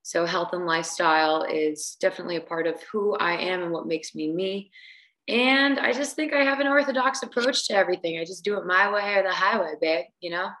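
A woman's voice: on the low side, fairly quick, a bit bright, roomy sound, rough recording. A woman singer guesting on a podcast, talking casually with hesitant restarts and fillers. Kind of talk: casual interview chat.

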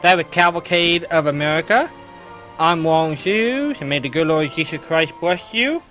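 A man's voice: a little high, moderate pace, sharp tone, soft background music. A man hosting radio, giving a simple sign-off style announcement. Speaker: radio host.

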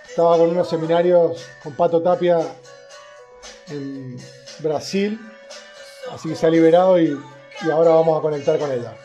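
A man's voice: a little high, normal speed, pauses often, warm tone. A man hosting a live online interview, chatting casually and informally over a video call. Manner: informal, colloquial. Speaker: host of an online wine interview series. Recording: muffled video-call audio with music.

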